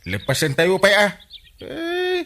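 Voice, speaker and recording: A man's voice: sits high, wildly swooping pitch, slow, crowd noise, exaggerated voice. A man playing a comic, self-important village captain who boasts and exclaims, in Filipino radio comedy drama style with an Ilocano accent. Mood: blustering.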